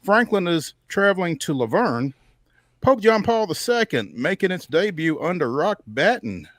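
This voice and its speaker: An American man with a slightly high voice hosts a sports show. He reads through game listings conversationally, dropping in casual asides. The sound is dry, with no room echo. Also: big pitch swings, moderate pace, fairly bright tone. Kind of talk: reading a rundown of local sports schedules.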